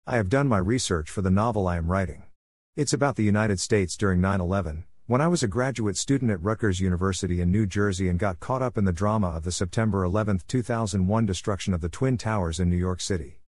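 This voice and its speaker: A man's voice: sits low, quick pace, darkish tone, no room sound, clean studio sound. A man narrating a scripted personal opinion column as a reader.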